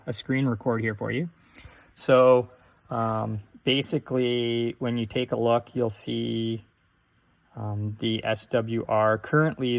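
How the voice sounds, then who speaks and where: A man hosting a casual do-it-yourself tutorial, explaining things step by step in a halting way. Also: mid-range voice, creaky. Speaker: host of a DIY radio tutorial. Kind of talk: casual how-to demonstration.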